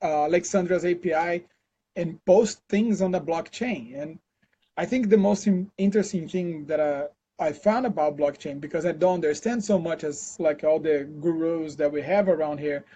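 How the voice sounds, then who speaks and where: A Brazilian man with a Brazilian accent, answering interview questions in a relaxed, chatty way full of fillers and restarts, over a video call. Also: on the high side, moderate pace, slightly rough. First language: Portuguese. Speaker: interview guest, scientist. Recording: dull lo-fi call audio.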